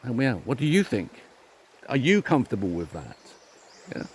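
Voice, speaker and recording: A man's voice: mid-range voice, sing-song, normal speed, slightly rough. Combative English man ranting outdoors. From England. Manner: combative, rhetorical questions, swearing. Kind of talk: opinion rant to camera.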